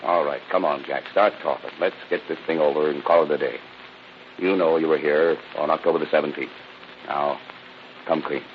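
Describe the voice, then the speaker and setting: An actor playing a relentless, tired, hard-boiled police lieutenant, stern and badgering, in a 1930s radio drama. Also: male voice, very deep voice, steady tone, moderate pace. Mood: weary, pressing. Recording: dull, noisy vintage radio recording.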